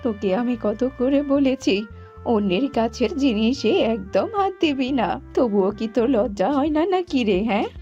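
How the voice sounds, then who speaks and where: A woman's voice: a little high, animated, moderate pace, warm tone, natural voice. A woman voicing an exasperated, scolding mother bird in a cartoon. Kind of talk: cartoon dubbing. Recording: muffled audio with background music.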